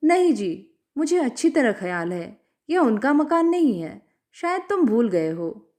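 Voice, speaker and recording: Storyteller doing defiant indignant woman, high voice, accusing, very lively, audiobook style. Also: unhurried. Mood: doubtful.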